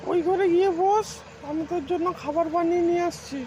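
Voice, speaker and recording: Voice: very high-pitched, steady tone, fast, dark tone. A performer voicing a kindly, doting aunt in a comic cartoon, fussing and caring. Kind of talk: amateur comic cartoon dubbing. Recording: muffled, faint background noise.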